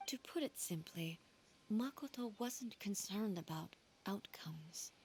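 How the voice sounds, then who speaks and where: A woman voicing a regal female ruler-goddess in a video game, speaking in a measured, formal, reflective way. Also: mid-range voice, expressive, darkish tone, a little hiss or hum.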